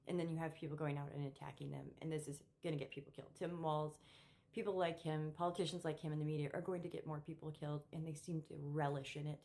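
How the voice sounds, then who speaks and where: Middle-aged female vlogger, low register, unscripted commentary, emphatic and opinionated with halting, repetitive phrasing. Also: little pitch movement, mellow. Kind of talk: unscripted opinion monologue to camera.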